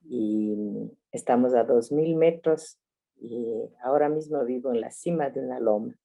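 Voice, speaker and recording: A woman in her sixties, a family doctor from Ecuador, telling her story reflectively with soft laughs over a video call. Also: rumbling low, measured pace, poor audio. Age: 60s.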